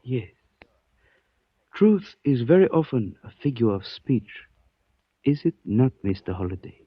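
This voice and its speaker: A calm formal chinese shopkeeper in an old radio play, talks in riddles, dull dry recording. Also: male voice, medium pitch, slightly rough, no echo. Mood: serene, cryptic. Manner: formal, measured, philosophical. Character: calm, cryptic, courteous Chinese antique shopkeeper. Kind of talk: 1940s radio drama acting.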